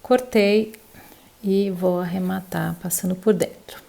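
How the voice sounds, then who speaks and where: A woman's voice: fairly low pitch, fairly even intonation, a little slow, creaky, mellow. A woman teaching crochet step by step, patiently counting stitches aloud and checking in with her viewers. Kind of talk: step-by-step craft tutorial.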